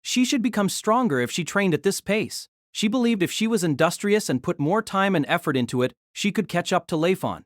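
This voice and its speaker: A narrator reading a novel aloud in an expressive storytelling manner. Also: mid-range voice, fairly quick, few pauses, dry recording, clean studio sound.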